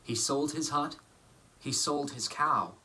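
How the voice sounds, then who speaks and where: A man reading a children's story aloud as an actor, with deliberate, theatrical phrasing and dramatic emphasis. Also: fairly high pitch, bright, forceful tone. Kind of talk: expressive storybook narration.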